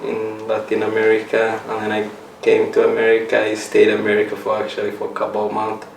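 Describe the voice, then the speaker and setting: A young man from Somalia telling his own story in an interview, halting and restarting as he searches for words. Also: fairly low pitch, monotone, vocal fry. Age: young adult. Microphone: set up mic in room. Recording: roomy, mic a little far, faint hiss.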